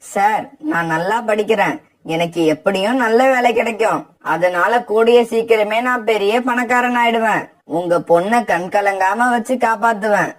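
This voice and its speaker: A woman voicing a young male bird student in a children's cartoon, earnest and quick to protest. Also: mid-range voice, natural voice.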